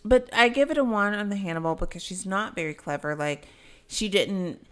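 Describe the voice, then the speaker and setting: A woman co-hosting a casual podcast, relaxed and opinionated, swearing freely. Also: medium pitch, normal speed, clear, resonant tone. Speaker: podcast co-host.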